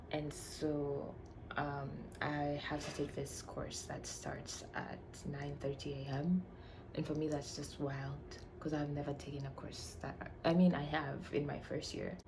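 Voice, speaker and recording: A young woman from Tanzania chatting casually to camera about herself, playful and chatty, dipping into whispery murmurs. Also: deep voice. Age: young adult. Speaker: vlogger. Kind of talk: casual get-to-know-me chat. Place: shared home room. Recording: noisy home recording with roommates behind. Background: household noise.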